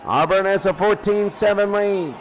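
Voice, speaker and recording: A man's voice: high-pitched, moderate pace, a faint crowd, rough recording. A man with an American accent calling football play by play, exclaiming on big plays. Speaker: play-by-play commentator. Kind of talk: live American football commentary.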